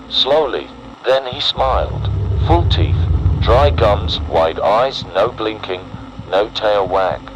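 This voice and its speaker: Male narrator, low register, solemn and deliberate horror PSA read over clearly audible noise. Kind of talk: scripted analog horror emergency-broadcast narration.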